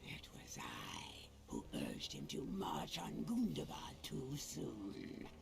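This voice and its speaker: A male actor playing a contemptuous, gloating dragon in a fantasy video game, grandiose and menacing in a hissing gravelly voice. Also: on the low side, huge pitch swings, slow pace, in a whisper, exaggerated voice. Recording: game music behind the voice.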